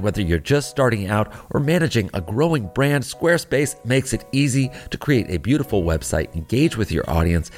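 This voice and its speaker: A man podcast host reading a scripted sponsor ad with casual, folksy asides. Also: mid-range voice. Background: music.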